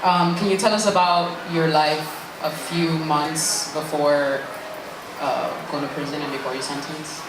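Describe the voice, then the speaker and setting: Deep-voiced woman interviewer. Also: fairly even intonation, unhurried. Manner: gentle, affirming questions. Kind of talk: conversational interview. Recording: very echoey room, noisy.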